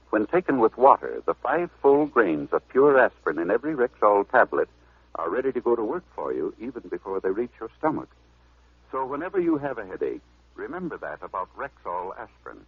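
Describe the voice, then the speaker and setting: A man's voice: on the low side, husky, dry recording. A man delivering an old-time radio commercial as a druggist spokesman. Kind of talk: 1940s-50s radio commercial. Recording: muffled tinny old radio recording.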